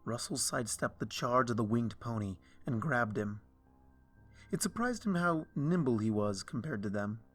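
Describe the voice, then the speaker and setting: A man reading a dark fan story aloud in a slow, hushed, brooding way. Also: mid-range voice, animated. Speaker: fanfiction reader. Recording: soft music underneath.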